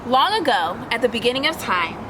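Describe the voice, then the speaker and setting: A woman voicing a wise, gentle shooting star that tells an old legend in a storybook. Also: on the high side, very animated, a little fast, noisy background. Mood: storytelling.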